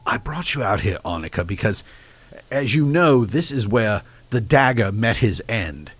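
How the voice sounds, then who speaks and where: A man's voice: on the low side, animated, normal speed, a bit bright, no echo. A man playing an earnest, haunted ex-detective in an audio drama, telling his story in a courteous, old-fashioned way. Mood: urgent, intense. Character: earnest, haunted male British ex-detective, grieving and obsessive.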